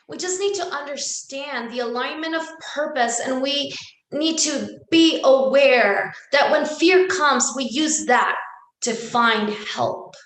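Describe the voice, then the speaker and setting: A woman's voice: high-pitched, fairly bright tone. A woman from El Salvador whose first language is Spanish, giving an earnest inspirational talk and repeating words for emphasis. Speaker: speaker. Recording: echoey room.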